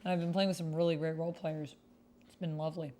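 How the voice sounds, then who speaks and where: A woman with a slightly low, soft-edged mellow voice hosts a livestream of a text roleplay game. She talks straight to her viewers in a chatty way and moves between casual remarks and reading game posts aloud. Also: flat delivery, fast. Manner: chatty, addresses viewers directly. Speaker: streamer hosting a tabletop roleplay game.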